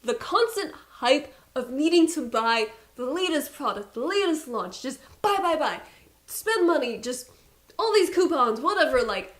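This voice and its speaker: A woman's voice: high-pitched, sing-song, a little slow, fairly bright tone. A young woman with a Persian accent, a beauty YouTuber talking casually to camera, chatty and exasperated with playful asides.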